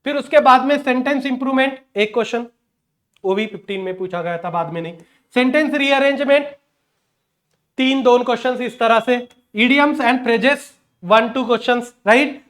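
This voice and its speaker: A man's voice: extremely high pitch, normal speed, fairly bright tone. A man with an Indian accent lecturing as an exam coaching teacher, instructional, mixing in English terms and often checking in with short tag words. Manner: instructional, punctuates points with check words like "okay" and "right"; mixes English terms into speech.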